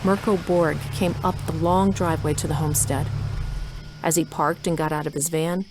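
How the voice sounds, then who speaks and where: Female narrator with a lowish voice telling a scripted story over noisy ambient effects. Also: fairly even intonation, moderate pace.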